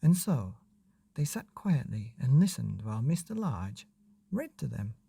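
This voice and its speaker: Man, storybook narration, low lively voice, expressive, dry close sound. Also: creaky, breathy edge, dry recording. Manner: unhurried, expressive reading for children. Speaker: bedtime story podcast narrator.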